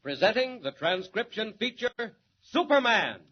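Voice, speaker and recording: A man's voice: high-pitched, big pitch swings, unhurried, highs cut off. Declamatory 1940s radio announcer, man. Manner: declamatory, suspenseful. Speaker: announcer and narrator. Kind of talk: dramatic 1940s radio narration.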